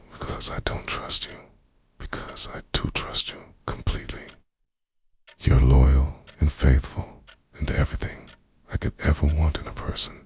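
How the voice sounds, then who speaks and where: Very deep, soft-voiced jealous boyfriend acted by a man. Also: breathy edge, dry recording, heavily acted. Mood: anxious, intense. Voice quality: soft, low, slipping into whispers. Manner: tender, brooding, confessional. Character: jealous, possessive, obsessively devoted yandere boyfriend. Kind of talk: intimate boyfriend roleplay ASMR.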